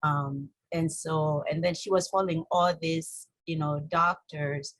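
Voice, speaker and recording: Husky Kenyan woman, fairly even pitch. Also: no room sound, low-quality recording. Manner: informal, halting, frequent "you know". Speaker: interview guest, holistic health coach. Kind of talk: conversational interview storytelling.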